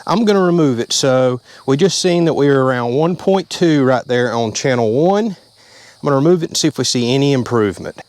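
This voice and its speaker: A man with a drawl walks through a hands-on job step by step, speaking plainly and at an easy pace. His voice sits at an ordinary middle pitch, and the sound is that of someone talking inside a vehicle. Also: lively intonation, fairly quick, a little mellow. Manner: plain-spoken, step-by-step explaining. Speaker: channel host. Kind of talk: casual how-to vlog.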